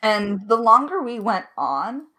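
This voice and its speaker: A woman's voice: a little high, very animated, measured pace, sharp tone. A woman in a casual Q&A as a writer, talking candidly with self-deprecating humor. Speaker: lead writer of an audio drama. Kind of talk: casual Q&A conversation.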